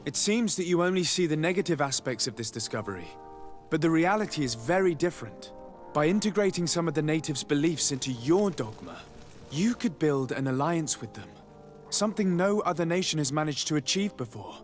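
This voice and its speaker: An actor playing a composed young noble diplomat, speaking calmly, formally and articulately in a video game. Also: male voice, fairly high pitch, a little fast, soft background music, close to an everyday voice. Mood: earnest, persuasive. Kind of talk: video game drama acting.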